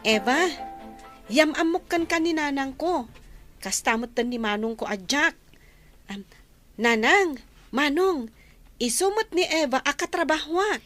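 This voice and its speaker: High female voice, acted friendly young co-worker, modest, welcoming, drama, faint music behind. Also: animated, a little slow, long pauses, a bit bright. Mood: cheerful, introducing. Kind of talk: Ilocano audio drama acting.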